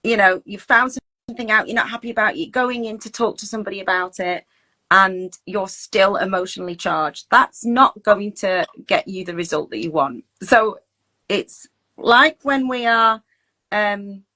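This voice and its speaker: A woman's voice: mid-range voice, normal speed, sharp tone. A woman coach talking through advice in a chatty, conversational, reassuring way, acting out example phrases as she goes. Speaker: teacher well-being coach and host. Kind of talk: informal advice talk, conversational.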